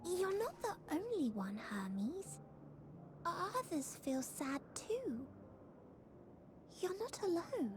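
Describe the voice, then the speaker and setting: A woman voicing a small, earnest, childlike bird-girl creature in a video game, speaking in simple, halting, tender phrases. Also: very high voice, very animated, background noise, exaggerated voice. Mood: tender, consoling.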